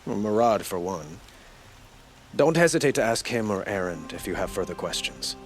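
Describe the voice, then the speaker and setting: A man acting as a regal young monarch from a fantasy video game. He is gracious and troubled, choosing formal words with measured, earnest weight. Faint music plays behind him. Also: fairly low pitch, expressive, natural voice. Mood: gently pleading. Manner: measured, formal, courtly delivery.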